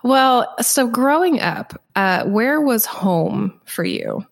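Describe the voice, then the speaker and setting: Creaky-voiced woman hosting a podcast. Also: on the high side, big pitch swings, slow. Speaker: podcast host and interviewer. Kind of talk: scripted podcast introduction and interview questions.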